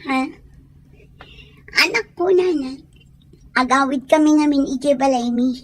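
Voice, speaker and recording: A woman's voice: high voice, unhurried. A woman playing a distressed, scorned young wife and mother in an emotional radio-style drama. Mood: subdued, weary.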